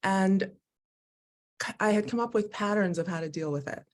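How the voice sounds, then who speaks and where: A woman speaking as a guest on a live video call, telling her own story in an animated, expressive way and often laughing as she talks. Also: medium pitch, moderate pace. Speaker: guest, Human Design educator. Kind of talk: candid personal conversation.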